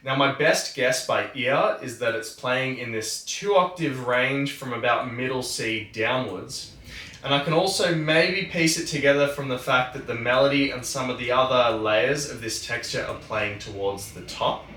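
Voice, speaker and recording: A man presenting a music tutorial, explaining methodically in a measured, instructional way. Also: mid-range voice, pushed and bright, slight background noise. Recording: roomy, distant microphone.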